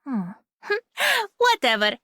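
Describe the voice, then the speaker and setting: An actress playing a breezy, cheeky young traveler in a video game, talking in a casual, playful way. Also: female voice, high voice, huge pitch swings, very slow, pushed and bright, dry recording, clean studio sound, full character voice. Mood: breezy, teasing. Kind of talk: scripted video game character voice line.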